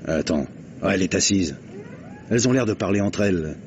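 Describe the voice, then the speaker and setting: A man's voice: sits low, animated, brisk. A man playing a cheeky, grumbling police subordinate in a 1950s French radio drama, talking in a slangy drawl. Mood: watchful. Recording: dull, faintly noisy vintage radio recording.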